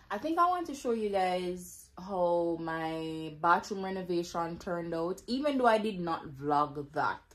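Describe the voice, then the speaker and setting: Laid-back woman vlogging from her bedroom on a phone, talks slow, laughs a lot. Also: lowish voice. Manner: relaxed, laughing, slow and easygoing. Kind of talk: casual chatty vlog. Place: bedroom and bathroom of a home. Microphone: phone camera held close.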